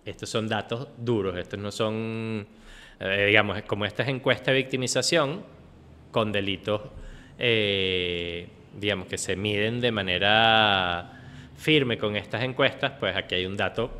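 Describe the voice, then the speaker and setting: A man's voice: fairly low pitch, measured pace, pushed and bright, slight background noise. A man giving an explanatory, off-the-cuff conference lecture as a researcher, often adding short tag questions to check in. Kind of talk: extemporaneous lecture on data. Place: indoor conference hall. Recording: reverberant hall, conference recording.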